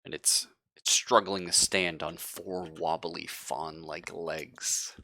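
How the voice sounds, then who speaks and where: A man with a very low, bright-edged voice works as game master of a horror tabletop game. He narrates at an unhurried, deliberate pace with pauses for detail, then slips into casual chat. The sound is dry and close to the mic. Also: fairly even intonation, creaky, dry recording. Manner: slow, deliberate, vivid descriptive storytelling with pauses.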